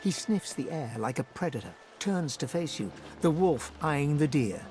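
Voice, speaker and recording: Game storyteller male actor, scripted literary narration, solemn and measured, a little high, faint music behind. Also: expressive, darkish tone, lightly acted.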